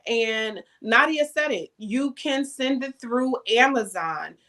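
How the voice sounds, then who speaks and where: A woman founder appears as a guest and promotes her summit. She talks slowly and encouragingly, in a slightly raised pitch. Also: lively intonation, sharp tone. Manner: motivational, plugs her platforms.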